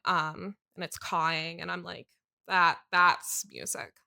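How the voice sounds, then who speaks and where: A woman's voice: lowish voice, fairly even intonation, takes it slowly, gravelly, sharp tone, exaggerated voice. A woman playing an earnest, whimsical manic pixie dream girl in an improvised comedy interview, stating airy non sequiturs with complete sincerity. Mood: dreamy, agreeable.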